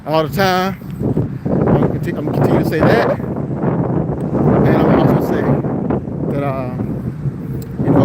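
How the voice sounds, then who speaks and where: An American man rambling casually on a livestream, repeating his phrases for emphasis in an encouraging, preacherly way. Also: on the high side. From South Florida, United States. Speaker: livestreamer and commercial driver. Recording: noisy outdoor wind and traffic noise.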